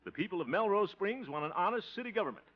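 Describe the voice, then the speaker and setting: An actor playing a good-natured, flustered homemaker host who is running for mayor, in a 1950s radio comedy. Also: male voice, high-pitched, expressive, quick pace. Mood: earnest. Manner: chuckling, flustered, rehearsing aloud. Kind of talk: 1950s radio sitcom acting. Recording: dull old 1950s radio broadcast.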